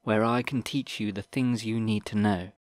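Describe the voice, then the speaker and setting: An ancient old wizard, grave and formal, read by a male narrator. He speaks slowly and deliberately in a lowish, dry voice, close to the narrator's own. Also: steady tone, no echo, natural voice. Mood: measured. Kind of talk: audiobook character reading.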